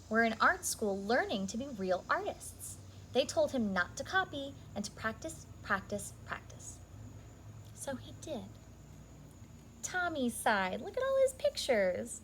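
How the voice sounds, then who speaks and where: Higher-pitched woman reading a children's story. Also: fairly bright tone, a little hiss or hum, rough recording. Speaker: childcare educator reading a picture book. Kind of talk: expressive read-aloud storytelling for young children.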